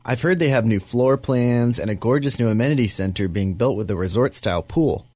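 A man playing a calm homeowner in a scripted radio ad, pitching new homes. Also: brisk, no room sound, lightly acted. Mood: calm, upbeat.

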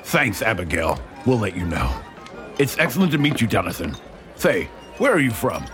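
An actor playing a dignified male general who speaks in a slow, stately way. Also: very animated, music in the background. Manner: deep, dignified.

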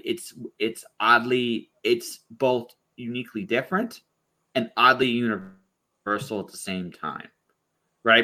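A man's voice: lowish voice, bright, forceful tone. A man hosting a podcast over a video call, talking casually and rambling, often restarting his sentences. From Ontario, Canada. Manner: rambling, lots of restarts and repeated words. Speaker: podcast host. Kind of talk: casual conversation.

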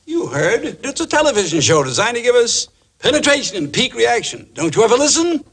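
Brash TV schemer, scornful, high lively voice, bright and a little husky. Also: male voice, fairly quick, exaggerated voice. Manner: impatient, scornful. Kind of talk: 1960s film musical acting.

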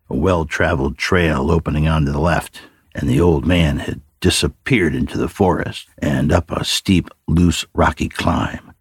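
Male fiction narrator: rock-bottom pitch, gravelly, close dry sound, brooding and measured dramatic read. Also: no echo. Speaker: story narrator.

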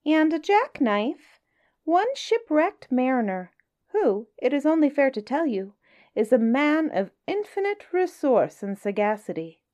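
Woman doing a tiny clever fish, high, sly, polite, storybook. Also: sing-song, measured pace, darkish tone. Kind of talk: storybook reading.

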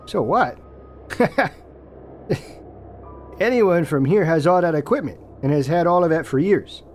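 A man acts out a local Canadian Mountie in an audio drama, earnest and cautious. He stammers and hesitates as he explains, with a lively swing in pitch. Music plays clearly behind him. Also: measured pace. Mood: earnest, insistent.

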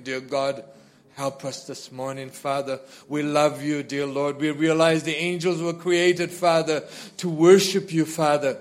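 A man leading a congregation in fervent, spontaneous prayer, pleading in short repeated phrases that swell and subside. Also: medium pitch. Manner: repetitive, pleading, short phrases punctuated with addresses to God; swells from hushed to loud and impassioned. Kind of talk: fervent extemporaneous church prayer.

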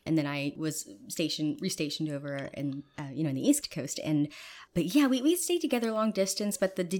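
A woman with a slightly raspy voice, chatting casually as a guest and telling frank, rambling stories with frequent laughter. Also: deep voice, expressive, a little fast, darkish tone. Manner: giggly, frank, rambling storyteller. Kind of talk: casual chat.

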